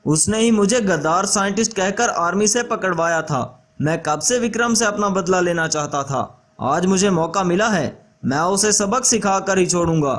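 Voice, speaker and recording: A man's voice: high-pitched, normal speed, hardly any pauses, a bit bright. A man voicing a greedy, vengeful traitor scientist in a children's story, boastful and threatening.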